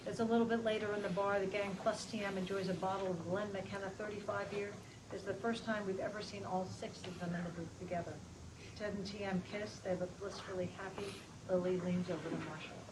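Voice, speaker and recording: A woman's voice: mid-range voice, fairly even intonation, fairly quick. A woman reading scripted screen directions aloud in a plain, matter-of-fact manner at a table read. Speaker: reader of stage directions. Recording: very noisy live room recording.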